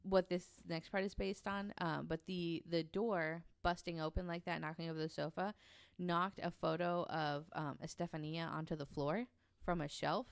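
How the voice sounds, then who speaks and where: A woman's voice: lowish voice, little pitch movement, moderate pace, creaky, smooth, no echo, clean studio sound. A woman telling a true story casually on a podcast, reading quotes aloud in an informal, hesitant way.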